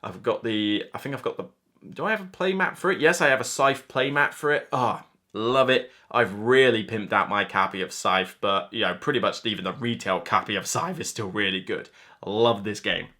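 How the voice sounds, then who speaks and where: A man's voice: fairly low pitch, normal speed, sharp tone. A man in his thirties giving an enthusiastic, informal and emphatic board game countdown review to camera, speaking indoors. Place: indoor room lined with game shelves.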